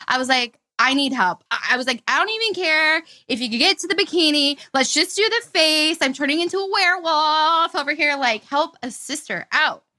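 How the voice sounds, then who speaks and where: A woman's voice: sits high, animated, moderate pace, hardly any pauses, sharp tone. A woman hosting a casual interview, talking in a gushing, slangy way. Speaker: host, interviewer. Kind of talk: casual chat.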